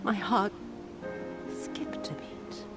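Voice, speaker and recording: An actress playing an embittered, cruel female spy in a video game, with theatrical, deliberate delivery and archaic formal diction. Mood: sadistic rapture. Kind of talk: dramatic video game villain monologue. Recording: dramatic music behind the voice.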